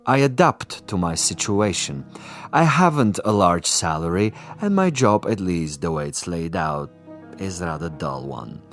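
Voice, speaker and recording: An actor playing a suave, boastful assassin commissar in a radio drama, with pompous, precise and silkily polite diction. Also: male voice, sits low, sing-song, unhurried, faint music behind, full character voice. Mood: smug, menacing. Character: suave, boastful male assassin commissar, coolly menacing connoisseur.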